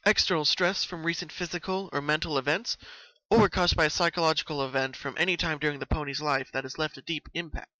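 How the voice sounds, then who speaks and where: A man plays a caring, studious female unicorn from a cartoon story, his pitch lifted and bright. She sounds earnest and articulate, explaining things like a concerned friend. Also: steady tone, fast talker, no echo, low-quality recording. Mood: bookish.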